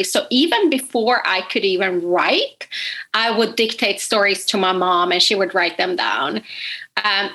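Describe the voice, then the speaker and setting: A woman's voice: medium pitch, sharp tone. A woman in her forties from Sweden, a podcast host talking candidly and chattily as an interview guest. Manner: chatty, candid, laughs easily. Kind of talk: casual interview storytelling.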